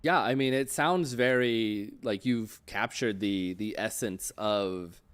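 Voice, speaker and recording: A man with a low, smooth and resonant voice hosts a relaxed chat show interview. He talks informally, like someone in casual conversation with a guest. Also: slow pace. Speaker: show host interviewing a game designer.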